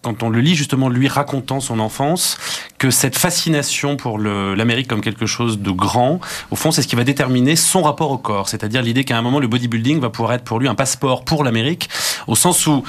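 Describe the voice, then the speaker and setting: A man's voice: mid-range voice, brisk. A man speaking as a guest on a radio interview, explaining at length in a thoughtful way with frequent hesitations. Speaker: guest critic. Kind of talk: radio interview, analytical commentary.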